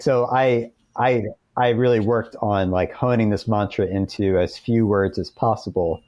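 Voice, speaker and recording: Low-pitched, mellow male podcast guest. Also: no echo, muffled recording. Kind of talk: conversational interview answers.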